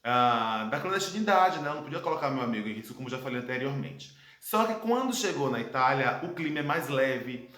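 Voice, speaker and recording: A Brazilian man in his thirties speaks at an ordinary, mid-range pitch, telling his own story in an earnest, reflective way. He leans on dramatic pauses as he goes. The room around him rings with a noticeable echo. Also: animated, a bit bright. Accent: Brazilian Portuguese. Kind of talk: personal testimony monologue. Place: his home, indoor room. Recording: echoey room.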